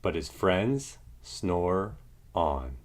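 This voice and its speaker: Low-voiced man reading a storybook, slowly. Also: very animated, a little hiss or hum. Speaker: storybook reader. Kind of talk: expressive children's read-aloud narration.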